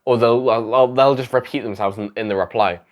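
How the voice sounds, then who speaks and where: A man's voice: mid-range voice, fairly even intonation, moderate pace. A young man talks straight to camera, offering advice to fellow creators. He is sick and his voice is going, and he rambles and repeats himself, restarting sentences as he goes. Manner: rambling, self-correcting, repeats phrases. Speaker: YouTuber giving advice. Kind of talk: casual talking-head advice vlog.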